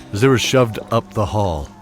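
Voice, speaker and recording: A man reads scripted narration for an audio drama in a very deep voice, steady and grave. Music plays clearly behind him.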